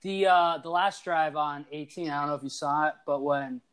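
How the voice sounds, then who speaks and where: A young man in his twenties hosts a sports podcast. His voice sits a touch high, and he talks in a lively, teasing way, full of quick asides. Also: clear, resonant tone. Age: 20s. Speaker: podcast host. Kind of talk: casual sports podcast chat.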